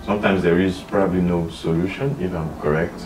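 Deep-voiced young man, thoughtful host. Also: fairly even intonation, a little fast. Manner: thoughtful, asks questions, lots of "mm-hmm" backchannel. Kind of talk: casual discussion. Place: living room with bookshelf, indoors. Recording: roomy, distant, faint room hiss.

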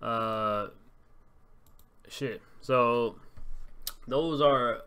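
A man's voice: mid-range voice, drawn-out words, pushed and bright, clean tone. A young American man giving casual, slangy, profane reaction commentary in a laid-back way. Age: young adult. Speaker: YouTuber reacting to game footage. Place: small room at home. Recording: noisy room with game sound behind.

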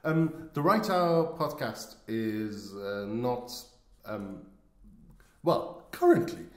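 A man chatting casually as a podcast host, speaking haltingly. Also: mid-range voice, big pitch swings. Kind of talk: casual chat. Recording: roomy, echoey sound.

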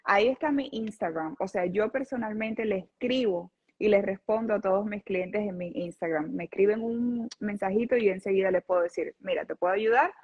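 Woman lawyer 30s explaining stuff on a video call, breathy voice, muffled audio. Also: mid-range voice, brisk, few pauses, a little mellow. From lived in Venezuela, not born there. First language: Spanish. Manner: earnest, explanatory, many restarts. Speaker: personal injury lawyer, guest. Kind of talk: explaining legal cases in an interview. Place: office with a city window behind.

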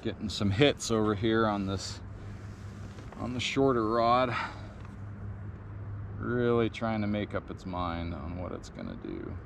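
A man's voice: lowish voice, vocal fry, slight background noise. A man in his thirties explaining a bait rig and narrating his fishing from a pontoon boat outdoors, recorded on a chest-mounted action camera. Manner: slow, easygoing instruction turning excited when a fish bites. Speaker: fishing vlogger. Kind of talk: casual how-to narration while fishing.

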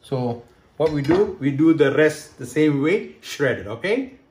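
A man's voice: fairly high pitch, animated, measured pace, a little mellow, a little hiss or hum. A middle-aged man from Hong Kong hosting a home cooking demonstration in his kitchen, explaining each step and checking in with "okay" and "right". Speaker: cooking show host. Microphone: camera close by, handheld.

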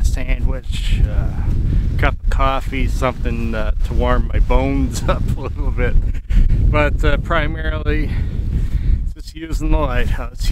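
Rambling man filming outdoors in wind. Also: medium pitch. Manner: rambling, many fillers and restarts. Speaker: landscape photographer. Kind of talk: casual vlog narration on location. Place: outdoors on a frozen pier by a lake. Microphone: camera on a handheld rig, close framing.

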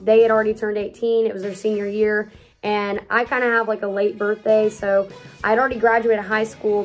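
An upbeat young woman in her early twenties talks to camera in a casual question-and-answer vlog, gesturing as she speaks. She is recorded close up in a small room at home, with soft music faintly underneath. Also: mid-range voice. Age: early 20s. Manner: chatty and upbeat, talks with lots of hand gestures. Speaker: youth pastor.

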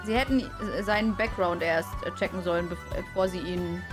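A young adult woman streaming a let's play, translating the dialogue aloud in a halting, self-correcting way with casual asides. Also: on the low side. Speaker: live streamer reading a game aloud. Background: game music.

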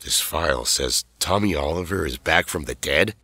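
A man's voice: a bass voice, animated, normal speed, noisy background. A man playing a grave, decisive leader, commanding and terse, in an audio drama with long reverb. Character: decisive, grave male leader giving orders.

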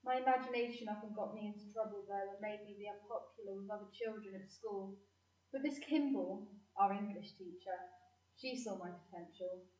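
A woman's voice: medium pitch, a little fast, a little mellow, close to an everyday voice. A woman playing an imaginative, plucky, bookish girl in an audio play. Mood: reflective. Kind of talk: audio play narration and dialogue. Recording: echoey room, distant, dull sound.

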